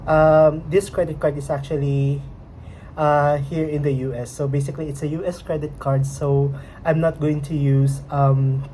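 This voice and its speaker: A woman's voice: low voice, flat delivery, moderate pace, warm tone, a little hiss or hum. A young woman with a Filipino accent, a native Filipino speaker, vlogging casually in English and hesitating often as she searches for words. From Philippines. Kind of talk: casual unboxing vlog. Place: home at night.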